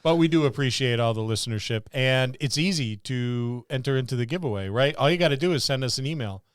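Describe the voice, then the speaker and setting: A man with a clear, smooth voice and a dry, close sound. He chats casually as a podcast co-host, grumbling in a wry, joking way. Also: medium pitch, animated, fast talker, no room sound. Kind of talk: casual banter among co-hosts.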